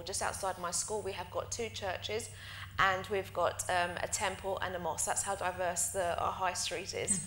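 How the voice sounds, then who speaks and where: A woman's voice: medium pitch, a bit bright. This is a woman whose first language is Greek, speaking as a teacher at a panel event. She talks earnestly and with animation, often restarting her sentences. The recording is roomy and echoey, with a faint hiss beneath it. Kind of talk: panel discussion answer. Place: conference hall. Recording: echoey hall with faint noise.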